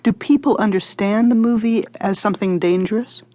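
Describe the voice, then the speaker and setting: A woman's voice: medium pitch, fairly even intonation, brisk, mellow, no room sound. A woman working as an interviewer, asking questions and offering observations in a conversational style.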